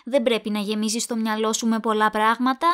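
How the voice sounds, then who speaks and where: An actress plays a controlling, caring woman in an audio drama, with a high, bright voice. She fusses protectively and placates while staying firm. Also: level tone, close to an everyday voice. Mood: placating. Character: overprotective, controlling, affectionate woman guarding her loved one. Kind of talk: Greek audio drama acting.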